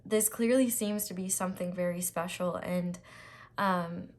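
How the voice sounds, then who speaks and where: Chatty woman with a raspy voice. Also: lowish voice, steady tone, measured pace. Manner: chatty, reassuring, many ums and restarts. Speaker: tarot reader. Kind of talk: casual tarot reading to camera.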